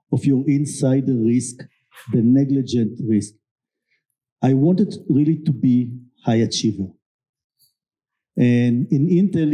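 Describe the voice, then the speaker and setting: A middle-aged man with a thick Israeli accent, telling a candid, self-deprecating story on a panel stage with many pauses and fillers. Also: medium pitch, warm tone, rough recording. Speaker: guest, security company executive. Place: event stage before an audience.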